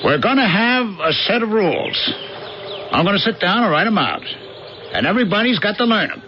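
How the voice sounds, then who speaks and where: A man's voice: high-pitched, very animated, a little fast, background noise. An actor with an American accent playing a disillusioned working man cast away and taken for a god, in a 1980s radio drama style. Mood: commanding. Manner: plain-spoken American everyman, colloquial. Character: disillusioned castaway worker mistaken for a god, gruff everyman. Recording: dull 1980s radio broadcast, loud music behind.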